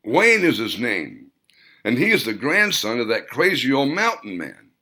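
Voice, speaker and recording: A boastful old war veteran from a storybook, shrewd and quick to chuckle, as a man puts him on. The voice sits well above the reader's own and rings bright and forceful. He tells his tales in a raised, excited way. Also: mid-range voice, voice pushed up, heavily acted. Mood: proud.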